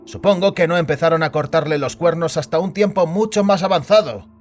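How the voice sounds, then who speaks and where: A man playing an uneasy, deferential subordinate in an audio drama, earnest and respectful even as he objects. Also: a little high, brisk, natural voice. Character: Svets, uneasy, deferential male subordinate recovering in hospital. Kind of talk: scripted Spanish audio drama acting. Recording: music bed behind the voice.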